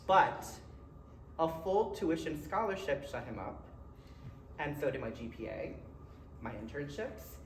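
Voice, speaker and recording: A man's voice: fairly high pitch, very animated, unhurried, long pauses. A man with a Philadelphia accent giving a personal keynote speech in a conversational way, with short dramatic phrases and wry asides. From Philadelphia area, United States. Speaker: keynote speaker. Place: college event room. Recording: roomy, distant mic with faint noise.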